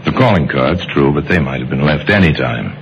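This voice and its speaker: A man narrating an old radio mystery in a deliberate, wry, dramatic style. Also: extremely low pitch, expressive, slightly rough. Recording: muffled old radio recording. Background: music.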